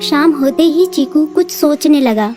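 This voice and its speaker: A woman narrating a cartoon story in a calm, even storytelling voice. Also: sits high, unhurried, music in the background.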